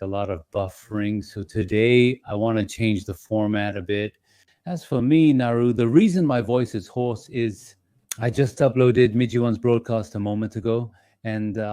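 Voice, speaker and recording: A man hosting an investing channel, talking in a gentle, reassuring and slightly apologetic way to his members, with small fillers as he thinks aloud. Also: lowish voice, no echo, studio quality. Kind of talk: casual live-stream talk to members.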